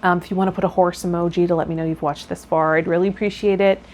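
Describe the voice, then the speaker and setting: A woman's voice: lowish voice, fairly even intonation, fast talker, darkish tone. A woman speaking as a tarot vlogger and guide, explaining and chatting directly to viewers. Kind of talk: reading card meanings, then casual chat to viewers. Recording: clear background noise.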